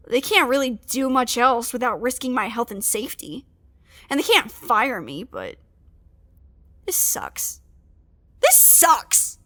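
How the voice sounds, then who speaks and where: A woman's voice: high voice, a bit bright, exaggerated voice. An actress playing a small, hot-tempered female field agent, venting sulkily and indignantly in an audio drama monologue. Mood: bitter, angry. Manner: sulky, sweary, indignant venting.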